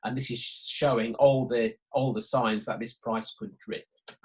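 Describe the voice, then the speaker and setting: A man's voice: medium pitch, fairly even intonation, unhurried. A man giving a live market walkthrough as a betting trading tutor, thinking aloud and often repeating himself. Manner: hesitant, repetitive, thinking aloud. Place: online conference call.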